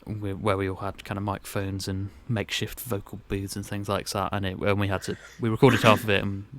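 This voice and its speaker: A man's voice: on the low side, little pitch movement, a little fast, slight background noise. A man co-hosting a podcast about a theatre company in casual discussion.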